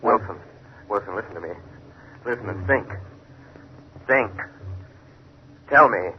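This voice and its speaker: A man's voice: on the low side, measured pace, lightly acted. Man playing urgent 1930s radio crime-fighter. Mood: insistent. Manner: insistent, pressing questioning. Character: urgent, determined crime-fighting detective hero. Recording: muffled, noisy 1930s radio recording.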